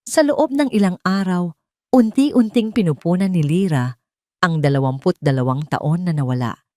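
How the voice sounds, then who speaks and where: Female Filipino narrator for dramatic audio fiction, lower register, close dry recording. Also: moderate pace, a little mellow, no echo.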